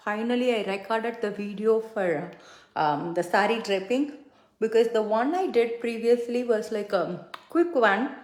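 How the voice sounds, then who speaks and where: A middle-aged woman whose first language is Tamil, chatting casually with many fillers as she does her makeup on a livestream. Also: medium pitch. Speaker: makeup consultant and livestream host. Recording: echoey room.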